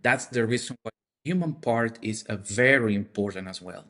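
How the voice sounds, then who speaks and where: A man speaking as a podcast guest, explaining his points haltingly and repeating words as he searches for phrasing. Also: lowish voice, a bit bright. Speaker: podcast guest, marketing expert. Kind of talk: explanatory discussion.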